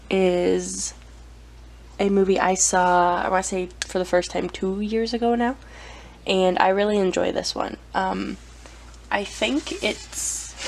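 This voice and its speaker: A young woman with a cold, chatting casually as she shows her anime collection, with frequent ums and self-corrections. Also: mid-range voice. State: has a cold. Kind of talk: casual show-and-tell vlog.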